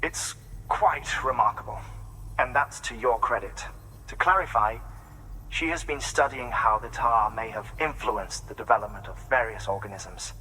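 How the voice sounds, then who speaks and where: An actor playing a courteous, formal male scientist in a video game cutscene, speaking in a polite, measured way. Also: medium pitch, sharp tone, slight background noise, close to an everyday voice, rough recording.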